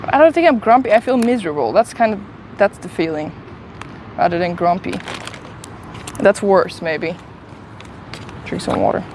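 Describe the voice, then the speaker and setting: A woman's voice: medium pitch, expressive, normal speed, long pauses, darkish tone. Chatty woman streaming from a noisy street. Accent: slight Swedish accent. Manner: chatty, self-deprecating, talks to chat while eating. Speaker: travel streamer. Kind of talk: casual live-stream chat. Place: outdoors on a city street. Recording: outdoor phone stream with street noise. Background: city traffic and street noise.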